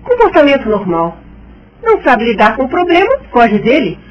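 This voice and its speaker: An actress playing a bitter, sharp-tongued, suspicious woman friend in a radio soap. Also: on the high side, huge pitch swings, moderate pace. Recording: dull lo-fi sound.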